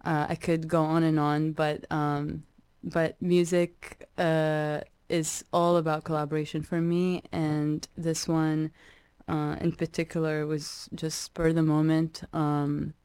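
Woman, from Iraq, young adult, low pitch, dry close sound, halting reflective talk. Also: flat delivery, darkish tone, clean tone, no room sound. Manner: reflective, halting, many ums and restarts. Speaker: musician guest. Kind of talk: radio interview.

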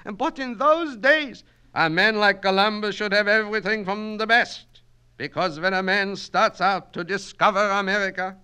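Pompous night student, high and booming, read by a man. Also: moderate pace, clean tone. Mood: triumphant. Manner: broken grammar, declaiming and loud. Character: pompous immigrant night-school man, grandiose arguer. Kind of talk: storybook reading.

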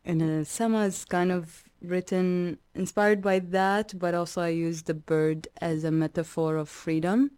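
Low-voiced woman musician, smooth and dry. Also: steady tone, darkish tone, dry recording. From Iraq. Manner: reflective, halting, many ums and restarts. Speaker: musician guest. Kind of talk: radio interview.

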